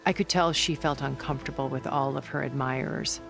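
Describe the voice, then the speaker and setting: An actor playing a secretive male schemer in a video game, speaking in a measured, composed way. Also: medium pitch, steady tone, creaky, fairly bright tone, faint music behind. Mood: reminiscing. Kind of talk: video game cutscene acting.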